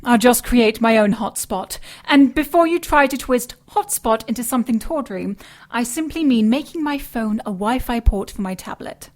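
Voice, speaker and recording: A woman acting a brainy British tech expert in an audio drama. Her fairly high voice is precise and dry, with a teasing, superior edge. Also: lively intonation, normal speed, natural voice. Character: crisp, brainy, teasing female tech-savvy partner.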